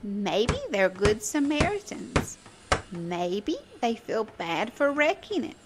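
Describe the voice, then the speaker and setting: A woman reading a children's story aloud in a playful, expressive way, speaking directly to her listeners as narrator and host. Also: mid-range voice, slow pace, heavy background noise. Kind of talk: animated read-aloud storytelling.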